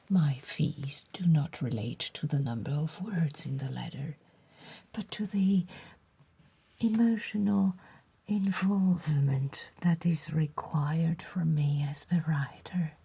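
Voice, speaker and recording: A woman playing a courteous, attentive letter writer in an ASMR roleplay, speaking in a soft, breathy whisper with gentle, formal deliberation. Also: low voice, expressive, slow, heavily acted.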